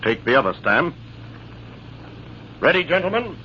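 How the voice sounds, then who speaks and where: A man playing a brisk, formal army major, commanding in the manner of old-time radio drama. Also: a little high, sing-song, bright, forceful tone, exaggerated voice. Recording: dull, faintly noisy old radio transcription.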